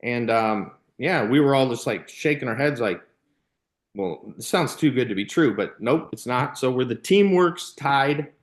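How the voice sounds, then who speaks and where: A man's voice: mid-range voice, animated, unhurried. American man rambling through casual stories. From Illinois, United States. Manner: rambling, peppered with "you know", "like" and "man". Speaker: podcast guest, former baseball player and youth coach. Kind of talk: casual anecdotal storytelling.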